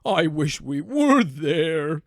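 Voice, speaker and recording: A hearty donkey out of a storybook, voiced by a man. He booms his words slowly and swings up and down, friendly and a little bossy. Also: very animated, no room sound, studio quality, exaggerated voice. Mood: eager. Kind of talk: animated storybook character voice.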